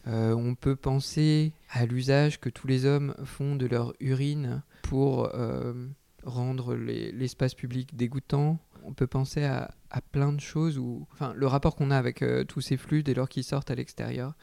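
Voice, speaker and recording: Low creaky man, hesitant artist. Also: fairly even intonation, a little slow, dark tone, no room sound. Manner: hesitant, searching for words. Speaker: textile artist guest. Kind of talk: reflective interview answers.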